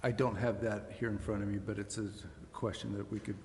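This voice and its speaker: A man's voice: lowish voice, flat delivery, brisk, a little mellow. A man giving a formal, polite briefing as a city official at a public meeting. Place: council chamber. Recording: reverberant hall, faint noise.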